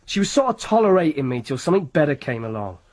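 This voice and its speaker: Defensive young Oxfordshire lad in a radio drama, mumbling and fairly high-voiced. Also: male voice, big pitch swings, fast talker, a little mellow. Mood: sulky. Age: about 19. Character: sullen young male working-class lad.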